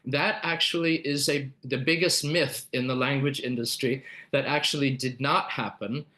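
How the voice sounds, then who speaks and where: A man's voice: medium pitch, steady tone, normal speed, pushed and bright. Man presenting a webinar, explanatory delivery with fillers and self-corrections. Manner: explanatory, many fillers and restarts. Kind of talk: live webinar talk.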